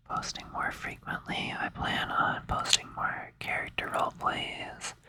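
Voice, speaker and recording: A person rambling in a breathy whisper close to the microphone for ASMR, sounding sleepy and hesitant, with a playful, soothing way of talking. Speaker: ASMR creator.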